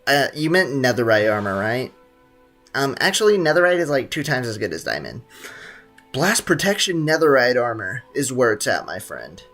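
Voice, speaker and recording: A man's voice: medium pitch, sing-song, moderate pace, a bit bright, faint music behind. A young man, streaming a game and making sarcastic jokes with a lot of laughter. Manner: joking, sarcastic, laughs often. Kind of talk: gameplay livestream commentary.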